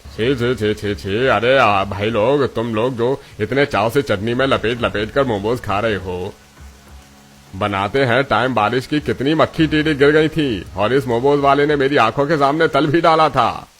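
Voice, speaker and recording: A man's voice: mid-range voice, unhurried, fairly bright tone, natural voice. A man voicing an arrogant, jealous, scheming restaurant owner in a cartoon story, taunting and boastful. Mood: sly, accusing. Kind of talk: Hindi cartoon story dialogue.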